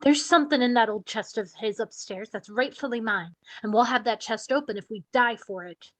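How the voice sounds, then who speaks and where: A woman's voice: fairly high pitch, close to an everyday voice, poor audio. A woman playing an indignant widowed mother in a radio play reading, scolding and determined. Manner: brisk-minded, scolding, determined.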